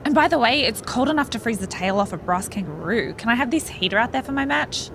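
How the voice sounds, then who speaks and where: A woman's voice: fairly high pitch, animated, quick pace, creaky, fairly bright tone, slight background noise. A woman playing a brash, mocking young pro wrestler with an Australian accent in a video game cutscene. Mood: brisk, breezy. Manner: blunt, scornful.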